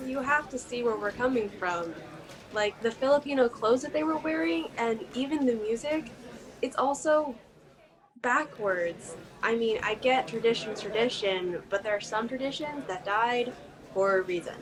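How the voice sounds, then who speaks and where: A woman's voice: fairly high pitch, normal speed, crowd noise, close to an everyday voice, low-quality recording. A woman playing an earnest, ambitious young daughter. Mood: defensive. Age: young adult. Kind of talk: amateur online drama acting.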